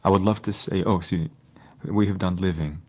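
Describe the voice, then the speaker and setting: A man with a low, husky voice reads spoken-word poetry. His delivery is solemn and measured, with a lyrical flow. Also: steady tone. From Detroit, United States. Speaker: poet.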